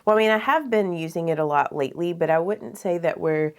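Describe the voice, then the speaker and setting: A woman's voice: on the low side, brisk. A woman vlogger chatting casually through her makeup routine, sounding slightly congested and nasal, with lots of filler words. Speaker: beauty vlogger. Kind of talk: casual get-ready-with-me talk.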